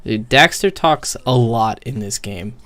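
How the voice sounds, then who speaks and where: A man doing casual, unscripted game commentary as a streamer, profane and opinionated, on a microphone turned up too high. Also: lively intonation, unhurried, a bit bright. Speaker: streamer critiquing a video game. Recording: overdriven, too-hot microphone.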